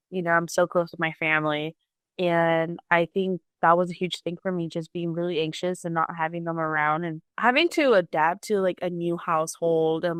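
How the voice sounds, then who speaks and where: An earnest young woman recounts her experience in a casual, open way. Her voice is low-set and smooth. The recording is close and dry. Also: no room sound. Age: young adult. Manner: earnest, open, many "like" fillers. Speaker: community college student. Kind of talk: casual personal story.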